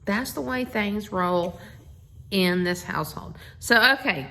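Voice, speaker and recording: Folksy, slow-paced middle-aged woman. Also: mid-range voice, vocal fry, bright, forceful tone, a little hiss or hum. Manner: folksy, effusive, rambling with asides. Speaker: host of a family social media video. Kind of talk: casual, chatty introduction to a bedtime story reading. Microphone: handheld phone.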